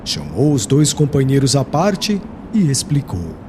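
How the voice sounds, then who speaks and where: A man's voice: medium pitch, huge pitch swings, unhurried. A man narrating an audiobook in a solemn, formal, literary storytelling style. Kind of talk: scripted dramatic literary narration. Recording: faint background noise.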